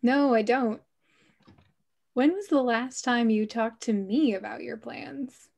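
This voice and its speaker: High-voiced, teasing young woman played by an actress. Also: slow pace, gravelly, darkish tone, exaggerated voice. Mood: playfully teasing. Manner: teasing, direct. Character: playful, teasing young woman, confident girlfriend. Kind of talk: table read of an animated film script.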